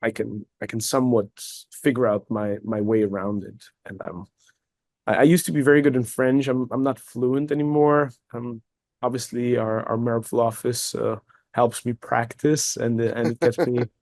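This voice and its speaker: Brief: a thoughtful German-native man, medium pitch, a guest in a casual chat. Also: little pitch movement, normal speed, a little mellow, no echo. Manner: reflective, repeats words while searching for phrasing. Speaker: guest, cigar company executive. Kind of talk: casual conversational interview.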